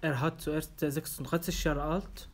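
A man in his twenties from Syria with an Arabic accent, speaking haltingly with many fillers and restarts as a candidate in a practice language exam, in an office room. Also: on the high side, little pitch movement, a little hiss or hum. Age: 20s. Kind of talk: simulated oral language exam.

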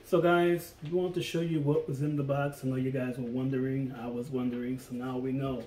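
A man's voice: medium pitch, flat delivery, a little fast, a little mellow, slight background noise. A man chatting casually at home and thanking viewers at the end of a vlog. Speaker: vlogger. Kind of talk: casual chat. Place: dining room at home. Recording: echoey room.

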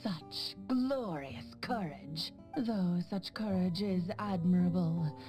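A woman's voice: fairly low pitch, slow pace, over music. A woman voicing a pompous, theatrical dodo overlord, secretly a playful mother, in a video game. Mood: grandiose, taunting. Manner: grand, theatrical. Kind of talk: scripted video game villain monologue.

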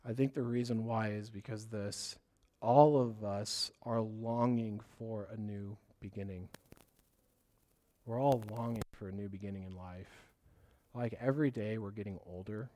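A man preaching a sermon as pastor, hoarse from a cough, with a scratchy voice and an earnest, rambling way of talking. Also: fairly low pitch, steady tone, unhurried, mellow. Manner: earnest, loses his train of thought and apologizes.

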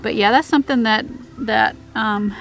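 A woman's voice: fairly high pitch, normal speed, creaky, fairly bright tone. A woman in her thirties talking casually outdoors on her homestead, with many fillers and restarts. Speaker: homestead vlogger. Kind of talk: casual chat.

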